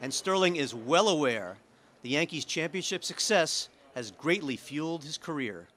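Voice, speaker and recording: A man's voice: a little high, expressive, normal speed, heavy background noise. A man reading scripted narration for a news feature as a reporter.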